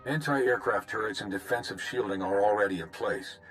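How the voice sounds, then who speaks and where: An actor playing a confident fleet admiral in a video game, giving a clipped, formal briefing. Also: male voice, lowish voice, brisk, fairly bright tone, faint music behind, natural voice.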